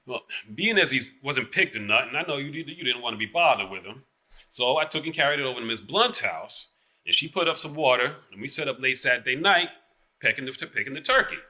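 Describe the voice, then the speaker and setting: A man's voice: mid-range voice, lively intonation, a little fast, bright, forceful tone, low-quality recording. A man playing a boastful, evasive small-town marshal with a Southern US accent, telling rambling tales in theatrical dialect. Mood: sheepish, evasive.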